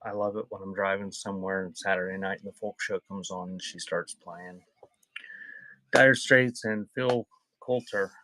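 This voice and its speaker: A man's voice: low voice, fairly even intonation, moderate pace, bright, forceful tone, poor audio. A man hosting a casual live sale, reading out titles and chatting off the cuff with viewers. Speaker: online seller hosting a live cassette sale. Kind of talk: casual live-stream chat while reading out album titles.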